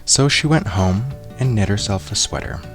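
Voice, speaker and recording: A man reading a children's picture book aloud in an expressive storytelling style, acting out the characters. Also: on the low side, moderate pace, over music. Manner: expressive, acts out character voices.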